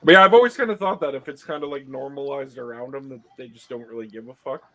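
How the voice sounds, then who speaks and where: An easygoing man chats and thinks out loud while his friends talk. He laughs often and keeps his tone loose and casual. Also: medium pitch, big pitch swings, fast, low-quality recording. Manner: chatty, laughs often, musing aloud. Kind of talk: casual chat.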